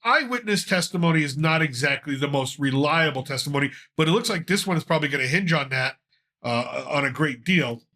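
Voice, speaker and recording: Congested, bright-voiced male host. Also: on the high side, fairly quick. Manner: loose, rambling, with frequent uh fillers. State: congested from a cold. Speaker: podcast host. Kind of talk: casual news commentary.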